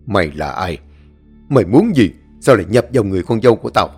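A man's voice: on the low side, sing-song, quick pace, darkish tone, breathy edge, soft background music, exaggerated voice. A man playing a stern old exorcist. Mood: interrogating. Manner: commanding, chanting incantations.

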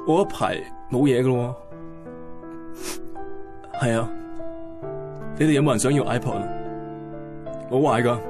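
A man's voice: mid-range voice, unhurried, pauses often, music in the background, natural voice. An actor playing a heartbroken young man with a Hong Kong accent who keeps a casual, brave face in a radio drama. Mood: feigned calm.

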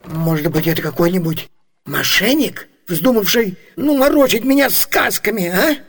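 Comic radio role: blustering old merchant, irascible, high-pitched, spluttering and sceptical. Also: male voice, lively intonation, moderate pace, breathy edge, exaggerated voice. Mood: baffled, doubtful. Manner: spluttering, exclamatory. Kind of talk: theatrical comic radio play acting.

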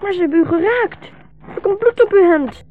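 Hoog, angstig jongetje , gespeeld door een vrouw. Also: female voice, very high-pitched, lively intonation, moderate pace. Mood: alarmed, caring. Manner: pleading, childlike. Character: young orphaned boy, anxious, devoted, trusting. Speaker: radio play actor. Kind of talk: 1960s radio drama acting. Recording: muffled 1960s radio broadcast recording.

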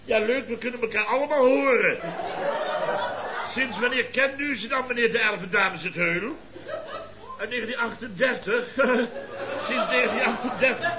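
An actor playing a blustering, boastful contractor boss in a Dutch radio comedy, with a pompous, theatrical, lecturing manner. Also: male voice, very high-pitched, moderate pace, pauses often, crowd noise, background noise. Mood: sheepish, agitated. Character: blustering, boastful contractor boss and uncle, scheming wheeler-dealer.

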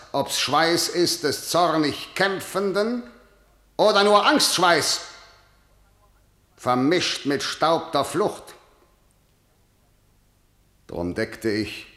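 Stern commanding tyrant in a verse radio play, slow and bright-toned, in a reverberant hall. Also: male voice, fairly high pitch. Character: harsh, stern male tyrant ruling a city. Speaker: ruler of the city. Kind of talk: classical verse radio drama.

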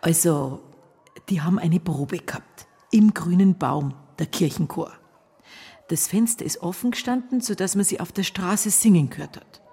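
An actress playing a secretive small-town wife in a radio drama, with a Bavarian accent and a hushed, hesitant, husky delivery. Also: female voice, fairly low pitch, normal speed. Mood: gossipy, confiding. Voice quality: husky, breathy.

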